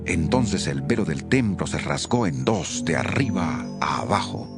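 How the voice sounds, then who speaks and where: A man narrating scripture from a script in a solemn, expressive manner. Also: extremely low pitch, a little slow, vocal fry, over music.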